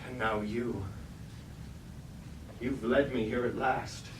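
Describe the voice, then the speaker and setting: An actor playing a fallen robot warlord on stage, grandiose and commanding, in formal, declamatory tragic verse. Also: male voice, medium pitch, steady tone, takes it slowly, lightly acted. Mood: solemn, resolved. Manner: declamatory, archaic formal speech. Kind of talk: staged reading of mock Greek tragedy. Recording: roomy, distant mic, clear background noise.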